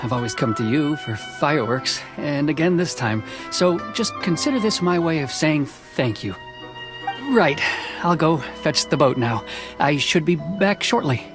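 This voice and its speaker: A man's voice: fairly high pitch, animated, measured pace. A man playing a timid, cautious, kindly young man in an anime-style video game, hesitant and apologetic. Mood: grateful, gentle. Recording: music under the dialogue. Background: music.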